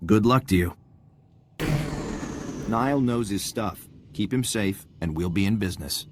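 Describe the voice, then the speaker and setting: An actor playing a confident, wry military intelligence agent giving a mission briefing in a video game. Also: male voice, on the low side, a little slow, background noise, lightly acted. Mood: assured briefing. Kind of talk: video game cutscene dialogue.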